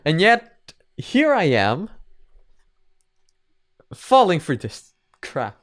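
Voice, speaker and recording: A man's voice: a little high, huge pitch swings, extremely slow, a bit bright. A man hosting a reaction video with casual, self-deprecating commentary.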